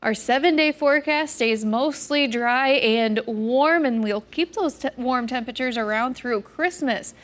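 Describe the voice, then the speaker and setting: A woman's voice: high voice, unhurried, hardly any pauses. An American woman presenting a broadcast weather forecast on television.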